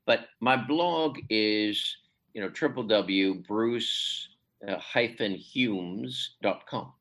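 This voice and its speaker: A man speaking as a literary translator in a podcast interview, hesitant with many fillers and restarts, his pitch climbing sharply when he stresses a point. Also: very slow, fairly bright tone. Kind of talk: conversational interview.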